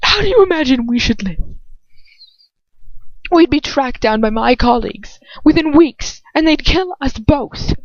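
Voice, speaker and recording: A woman plays a menacing, anguished man in a dramatic story reading. The voice is pitched up and intense, full of bitter contempt. The sound is dry and slightly dull, like a lo-fi recording. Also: lively intonation, a little slow, dry recording. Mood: incredulous scorn. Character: bitter, anguished, menacing adult male villain lover.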